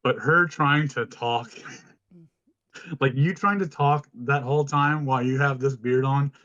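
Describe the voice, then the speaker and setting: A man with a deep voice co-hosting a podcast, telling stories in an informal, casual way and swearing freely. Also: measured pace, fairly bright tone, no room sound, lo-fi sound. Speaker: podcast co-host. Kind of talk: casual chat, storytelling.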